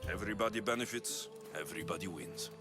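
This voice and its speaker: A confident, wry young mercenary, played by a man for a video game. His low voice is measured and self-assured. Music runs clearly underneath. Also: natural voice. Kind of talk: dramatic video game dialogue.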